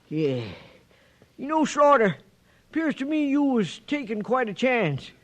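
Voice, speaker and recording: A folksy old cowhand sidekick with a remarkably high voice for a man. His pitch jumps about excitably and he likes repeating his words. It is classic 1950s radio Western acting. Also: takes it slowly, exaggerated voice. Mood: pleased, curious.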